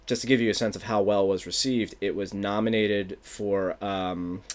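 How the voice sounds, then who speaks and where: A man with a Philadelphia accent who talks as an author in a casual interview, rambling and softening his consonants. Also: on the low side, moderate pace. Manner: rambling, animated, drops consonants. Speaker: author and playwright.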